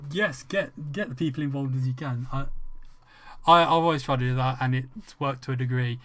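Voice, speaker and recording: A man's voice: medium pitch, a bit bright. Rambling, swearing English streamer man. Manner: rambling, swearing freely, frequent restarts. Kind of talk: casual livestream chat with viewers.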